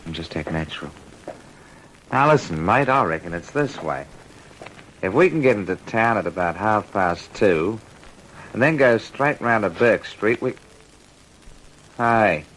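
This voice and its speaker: An actor playing a truculent Australian housebreaker and ringleader in an old radio crime drama, curt, slangy and bullying. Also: male voice, lowish voice, expressive, moderate pace, long pauses, a bit bright, a little hiss or hum, low-quality recording. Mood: wary, brazen. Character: cocky, truculent male housebreaker, ringleader with a gun.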